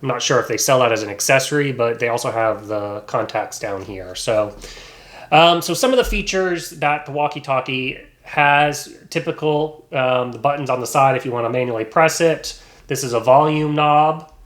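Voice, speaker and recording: A man giving a casual, unscripted product review, explaining features in a hesitant, halting way. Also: medium pitch, a bit bright. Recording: echoey, roomy sound.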